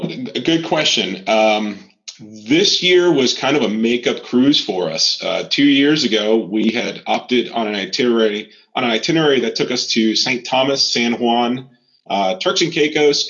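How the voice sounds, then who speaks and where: A man's voice: mid-range voice, moderate pace. Laid-back guy from miami chatting as a podcast guest, lots of ums, audio a bit muffled. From Miami, Florida. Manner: relaxed, many fillers. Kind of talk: casual interview.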